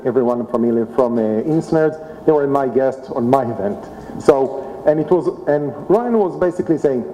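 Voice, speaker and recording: A man's voice: medium pitch, dark tone, highs cut off. A man speaking as a founder on a conference panel, talking in a loose, rambling way. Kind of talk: panel talk. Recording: echoey hall, faint room noise.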